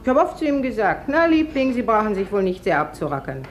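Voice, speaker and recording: An actress playing a familiar, gossipy tavern waitress in a 1950s radio drama, answering casually. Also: female voice, mid-range voice, very animated, fast, lightly acted. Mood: chatty, offhand. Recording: 1950s radio recording, faint hiss.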